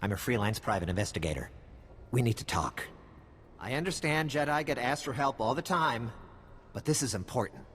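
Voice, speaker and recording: Man playing a wry streetwise detective in a game, swingy low voice, big reverb. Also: lively intonation, a little fast, fairly bright tone, slight background noise, close to an everyday voice. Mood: wary, urgent. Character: streetwise male freelance private investigator, wary, wry. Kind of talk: video game cutscene acting.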